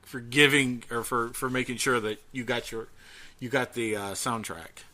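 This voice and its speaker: A man co-hosting a casual podcast, talking in a chatty, halting way. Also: mid-range voice, fairly even intonation, crowd noise. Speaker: podcast co-host.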